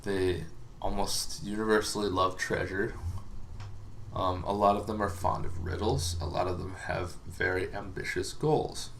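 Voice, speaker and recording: A man giving a casual, unscripted explainer as a host, halting and rambling with long pauses, over some background noise. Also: deep voice, fairly even intonation, gravelly, fairly bright tone. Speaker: host of a tabletop gaming lore series.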